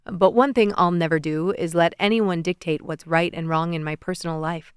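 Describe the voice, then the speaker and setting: Audiobook male lead, played by a woman narrator: smooth, low-key, playful young suitor. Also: steady tone, normal speed, dry recording, studio quality, natural voice. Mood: earnest. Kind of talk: audiobook character voice.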